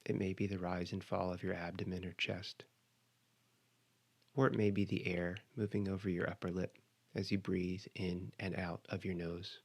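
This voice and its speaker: Man, low-pitched voice, meditation guide, measured instructional delivery, pauses between phrases. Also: flat delivery, creaky.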